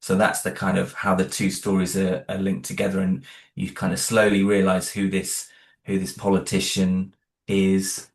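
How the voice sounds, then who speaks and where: Man, very deep voice, hesitant, lots of ums and restarts, interview guest. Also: monotone, normal speed. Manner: hesitant, many fillers and restarts. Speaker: audio drama creator.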